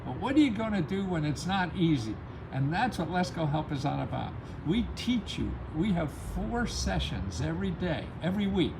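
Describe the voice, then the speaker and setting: Animated, high-pitched man explaining over background noise. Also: moderate pace, creaky, few pauses. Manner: emphatic, chuckles often at own remarks. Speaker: host of a grant-help advice channel. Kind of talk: casual, rambling motivational explainer.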